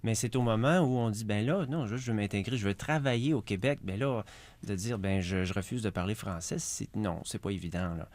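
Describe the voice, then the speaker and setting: A man with a lowish voice gives interview answers in a halting way, stopping and restarting his sentences. The sound is dry and close, with no room around it. He speaks as the head of a regional association. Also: darkish tone, no room sound. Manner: hesitant, repeats words while searching.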